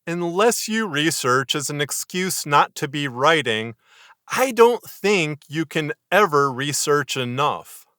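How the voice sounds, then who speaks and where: Bright, close-sounding man giving writing advice. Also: a little high, expressive, slow, clear, resonant tone, no echo. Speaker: writer and fiction podcaster giving writing advice. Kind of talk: scripted instructional narration to camera.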